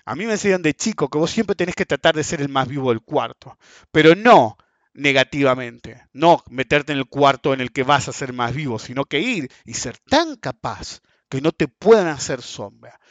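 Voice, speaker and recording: A man's voice: medium pitch, expressive, moderate pace, no echo. A man in his forties with a Rioplatense Spanish accent, ranting bluntly and crudely in a casual monologue about markets and keeps asking "ok?". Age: 40s. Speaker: market commentator. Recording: dull dry lo-fi sound.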